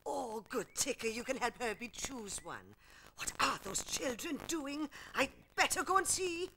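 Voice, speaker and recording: A woman voicing a brisk, motherly hippo mum, caring but exasperated, in a children's television show. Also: high-pitched, wildly swooping pitch, creaky, bright, forceful tone, slight background noise, heavily acted. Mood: exasperated, bossy. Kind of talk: children's television character acting.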